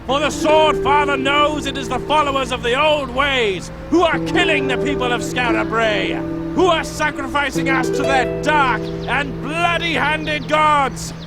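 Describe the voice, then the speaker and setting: An actor playing a fanatical religious zealot in a fantasy video game, preaching in a solemn, menacing, declamatory way. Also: male voice, extremely high pitch, a little slow. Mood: fervent, righteous. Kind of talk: dramatic fantasy game oratory. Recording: game audio under loud music.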